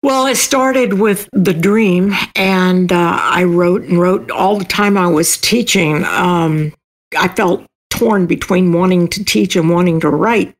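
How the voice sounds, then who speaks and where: An older woman speaking as an interview guest, casual, thoughtful and a little halting as she explains herself. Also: lowish voice.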